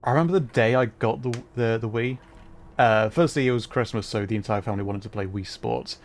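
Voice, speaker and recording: A man hosting a livestream, giving casual, halting gameplay commentary as he thinks aloud. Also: lowish voice. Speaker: livestream host playing a video game.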